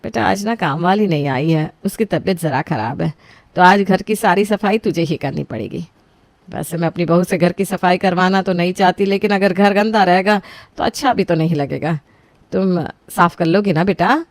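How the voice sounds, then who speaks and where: A woman's voice: lowish voice, normal speed, dry recording, close to an everyday voice. A woman voicing a demanding middle-aged mother-in-law in a story, coaxing and bossy.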